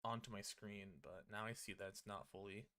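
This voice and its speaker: A man's voice: fairly low pitch, fairly even intonation, normal speed, pushed and bright. A man doing casual livestream commentary on a visual novel, chatting informally and reading on-screen text aloud. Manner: informal, occasional swearing. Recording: music playing behind the voice. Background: game music.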